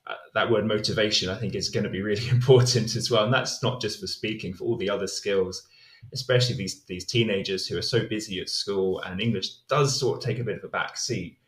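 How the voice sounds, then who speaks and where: A man's voice: on the low side, fairly even intonation, a little fast, roomy sound. A man, an English teacher, talking casually and reflectively in a podcast interview, with plenty of fillers and restarts. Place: lives in Spain.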